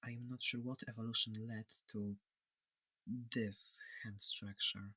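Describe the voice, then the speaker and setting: A person voicing a curious, polite alien scientist in an ASMR roleplay, soft-spoken, hesitant and reassuring, breathy and close to the microphone. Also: medium pitch, level tone, measured pace, a little hiss or hum, low-quality recording. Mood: puzzled, awkward.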